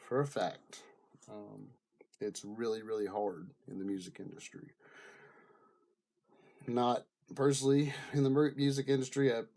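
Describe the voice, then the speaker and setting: A man talking off the cuff in a casual, rambling vlog style, often pausing and circling back as he goes. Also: lowish voice, darkish tone. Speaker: vlogger. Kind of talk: rambling casual commentary to camera.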